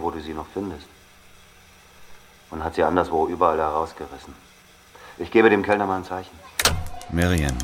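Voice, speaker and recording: A man's voice: sits low, natural voice. An actor playing an aging Algerian man bitterly recounting his past in a radio drama, in a brooding, confiding manner. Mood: wistful, confiding. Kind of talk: dramatic first-person narration in German.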